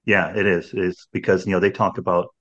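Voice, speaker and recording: A man's voice: sits low, level tone, fairly quick, a bit bright, highs cut off. A man in his sixties hosting a podcast in casual conversation, rambling with many restarts and "you know"s. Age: 60s. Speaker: podcast host.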